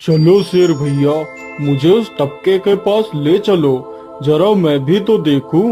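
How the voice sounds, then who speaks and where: A man's voice: on the high side, slow pace, mellow, soft background music. A man voicing a curious, unafraid cartoon bear with a familiar, inquisitive manner. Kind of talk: Hindi cartoon story dialogue.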